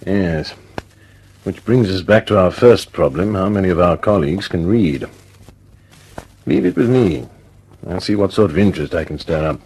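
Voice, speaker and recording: An actor in an old radio drama playing a dry, supportive senior official with a measured manner. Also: male voice, low-pitched, expressive, darkish tone, husky, heavily acted, poor audio. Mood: wry, thoughtful.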